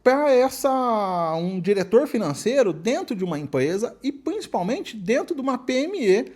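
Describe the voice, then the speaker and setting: A Brazilian man with a high-pitched voice hosts an interview podcast. He speaks casually, in the manner of someone chatting with guests he works with. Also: sing-song, moderate pace, a little mellow. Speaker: podcast host, accountant.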